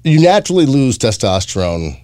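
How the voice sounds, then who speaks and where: A man's voice: medium pitch, big pitch swings, fairly quick, mellow, no room sound. A man co-hosting a health podcast, chatting and joking with his guest in a radio host's easy manner. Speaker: podcast co-host, radio morning show host. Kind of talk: casual chat.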